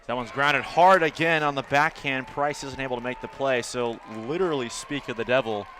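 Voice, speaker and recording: A man with an American accent doing live play-by-play commentary of baseball and softball as an announcer. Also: mid-range voice, a little fast, a faint crowd, a little hiss or hum.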